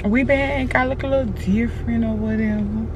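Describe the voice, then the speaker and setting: A hoarse young woman chatting casually to her viewers in a car, slangy and talkative. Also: on the high side, fairly even intonation, moderate pace. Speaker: vlogger. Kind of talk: casual vlog chat to camera. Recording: muffled phone audio with car noise.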